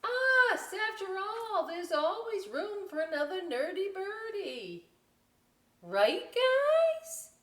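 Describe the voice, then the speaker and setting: Woman putting on an eager, very high-pitched nerdy bird. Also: very animated, takes it slowly, a bit bright, full character voice. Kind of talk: picture-book read-aloud character voice. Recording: roomy echo, distant microphone.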